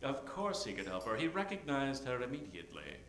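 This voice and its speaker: A man's voice: mid-range voice, big pitch swings, a little fast, a bit bright, a little hiss or hum. A man giving theatrical, improvised narration as announcer in the style of old-time radio. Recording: roomy stage sound, music behind.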